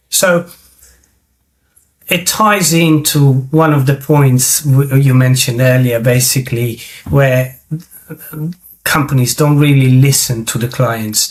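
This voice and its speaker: A man from Romania who speaks English, hosting a business interview. He asks his questions hesitantly, with frequent fillers and restarted phrases. His pitch is in a plain middle range. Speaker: podcast host.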